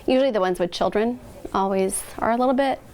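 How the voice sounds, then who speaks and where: A woman's voice: mid-range voice, fast, darkish tone. An American woman, an emergency dispatcher, speaking unscripted in a news interview.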